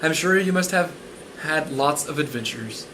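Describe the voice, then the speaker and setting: A man's voice: a little high, animated, moderate pace, pushed and bright, a little hiss or hum, rough recording. A man voicing a curious storybook mother.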